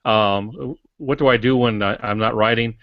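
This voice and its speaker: A man in his sixties co-hosting a casual podcast over an online call, explaining things in a wry, measured way. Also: mid-range voice. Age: 60s. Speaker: podcast co-host and writer. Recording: dull, dry, lo-fi online call audio.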